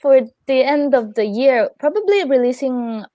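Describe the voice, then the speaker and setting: Laughing woman, higher voice. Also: lively intonation, moderate pace, dark tone, dry recording, clean studio sound. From Italy. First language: Italian. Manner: chatty, laughs often. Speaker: guest singer. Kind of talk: casual interview chat.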